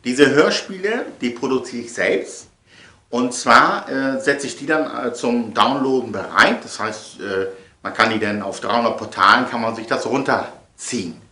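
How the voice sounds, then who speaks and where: Middle-aged male vlogger, forceful tone, theatrical and rambling delivery, roomy echoing sound. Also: medium pitch, moderate pace. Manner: emphatic, theatrical, repeats phrases. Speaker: vlogger and audio play producer. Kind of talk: casual, rambling monologue to viewers. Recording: echoey room.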